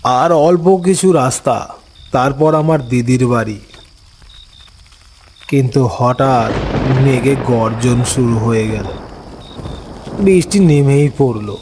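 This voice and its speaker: Male narrator for an animated tale, mid-pitched, very measured pace, dramatic first-person storytelling. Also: a little hiss or hum.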